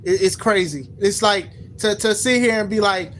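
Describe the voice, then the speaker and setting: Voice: mid-range voice, moderate pace, a bit bright, a little hiss or hum. Rambling thirty-something podcast host. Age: 30s. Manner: rambling, repeats words, slangy. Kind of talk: casual opinion commentary.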